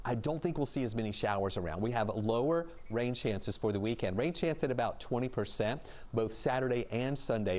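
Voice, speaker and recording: Male TV meteorologist, quick delivery, mid pitch, chatty forecast read. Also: a little mellow. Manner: brisk, conversational forecasting. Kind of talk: live weather forecast.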